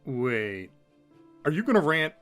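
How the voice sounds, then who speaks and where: A man's voice: on the high side, very animated, measured pace, fairly bright tone, clear, resonant tone, soft background music. A man co-hosting a fan recap show in casual, scripted commentary.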